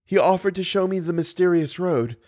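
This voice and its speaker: A man's voice: sits high, a little fast, a little mellow, voice pushed up. A man reading an audiobook, voicing a frightened, earnest and passionate young woman. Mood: impassioned, horrified. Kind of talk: audiobook character dialogue.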